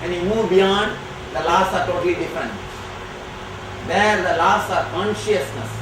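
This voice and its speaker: A man whose first language is Tamil, answering audience questions as a spiritual teacher, speaking slowly and emphatically. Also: high voice. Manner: slow, emphatic and declarative. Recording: echoey hall from far off, noisy.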